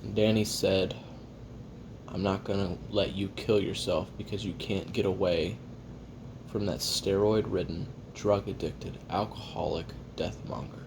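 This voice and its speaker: A man plays a hard-drinking, jaded male assassin taping his memoirs for an audio roleplay. His voice is low, with a gravelly fry, and he rambles wryly, cursing and putting himself down. Clear hiss and rumble sit under the recording. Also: steady tone, measured pace. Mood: subdued, reflective. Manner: profane, wry, rambling, self-deprecating. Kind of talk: confessional audio roleplay monologue.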